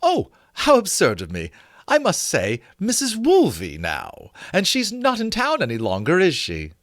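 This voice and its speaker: A man's voice: sits high, huge pitch swings, a little slow, a bit bright, no echo, studio quality, pitched above the performer's own voice, exaggerated voice. A man voicing a spiteful young society lady with an affected, falsely sweet manner in a period novel reading. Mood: sweetly spiteful. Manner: affected, sugary, cutting.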